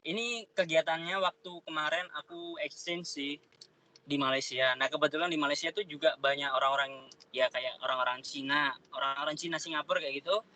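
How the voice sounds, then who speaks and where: Bright-voiced young man chatting casually. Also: on the high side, brisk, rough recording. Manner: relaxed, slangy, chatty. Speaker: interviewee, trader. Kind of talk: casual interview chat.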